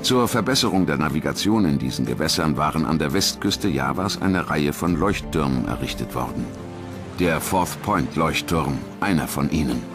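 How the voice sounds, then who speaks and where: A man narrates a documentary in a very low voice. His delivery is grave and measured, with scripted drama. Clear music plays behind him. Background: background music.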